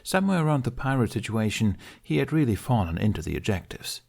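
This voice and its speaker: A man's voice: mid-range voice, big pitch swings, quick pace, creaky, a little mellow. A man narrating a scripted story as an audiobook reader.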